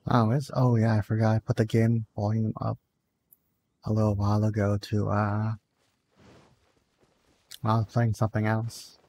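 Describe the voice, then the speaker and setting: A soft-spoken, quiet man who is a little under the weather, chatting casually with viewers while streaming a game. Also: completely flat delivery, moderate pace, pauses often, a little hiss or hum. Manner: low-key, chatting with viewers between play. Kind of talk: casual gameplay stream chat.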